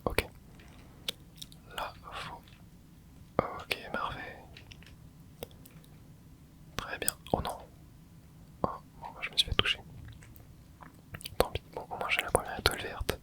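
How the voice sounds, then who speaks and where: Young male ASMR gamer, steady close whisper, laid-back play-by-play. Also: takes it slowly, pauses often. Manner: soft close whisper, relaxed running reactions. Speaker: ASMR gaming channel host. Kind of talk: whispered ASMR gameplay commentary.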